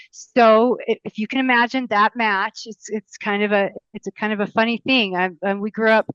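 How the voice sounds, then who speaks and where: A woman in her fifties with a smooth, resonant voice recounts her upbringing in an interview. She rambles, with frequent ums and restarts. The sound is dry and slightly dull, like a lo-fi recording. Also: mid-range voice, a bit bright, no room sound. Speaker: mayoral candidate guest. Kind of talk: interview life story.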